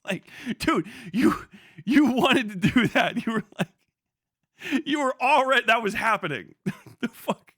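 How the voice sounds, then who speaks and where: A man's voice: extremely high pitch, animated, unhurried, pushed and bright, no echo, studio quality. A man hosting a livestream with casual, profane commentary, sarcastic and incredulous, often repeating phrases for emphasis. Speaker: livestream host. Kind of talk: casual commentary to a live audience.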